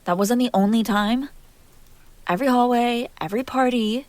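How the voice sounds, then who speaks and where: A woman playing a jealous, hurt young woman confronting her crush in an intimate audio roleplay, halting, sarcastic and emotionally raw. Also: fairly high pitch, dry recording, natural voice. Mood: bitter, accusing.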